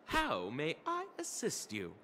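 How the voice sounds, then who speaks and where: An actor playing a formal hotel lobby manager in a video game, obliging and deferential. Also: male voice, fairly high pitch, wildly swooping pitch, takes it slowly, pushed and bright, exaggerated voice. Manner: obliging, apologetic politeness. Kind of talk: video game character voice acting. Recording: background music under dialogue.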